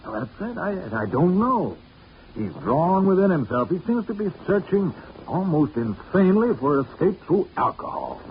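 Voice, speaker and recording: An actor playing an earnest, hesitant, formally polite doctor in a 1940s radio drama. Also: male voice, sits high, sing-song, normal speed. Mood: worried. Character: earnest, anxious educated male doctor, worried brother. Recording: muffled, faintly noisy 1940s radio recording.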